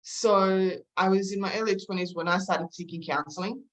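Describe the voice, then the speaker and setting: A woman with a mixed Eastern European and Australian accent, telling her story in a halting, reflective way as an interview guest. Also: mid-range voice, fairly even intonation, low-quality recording. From former Yugoslavia, moved to Australia at eleven. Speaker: podcast guest, counselor.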